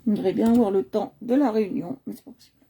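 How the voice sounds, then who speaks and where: A woman's voice: medium pitch, quick pace, dark tone, slight background noise. A woman from Nice hosting a live coloring stream, chatting casually with many hesitations and fillers, talking into a computer at home. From Nice, France.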